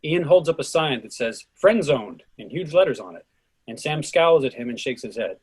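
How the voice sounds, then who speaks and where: A man's voice: medium pitch, animated, moderate pace, slightly rough, rough recording. A man reading scripted stage directions as the narrator of a play reading.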